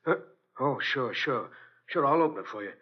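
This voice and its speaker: An actor playing a surly, grumbling rooming-house keeper, gruff and impatient, with street talk in 1940s radio drama style. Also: male voice, mid-range voice, animated, moderate pace, lightly acted. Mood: grudging. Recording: dull old radio recording.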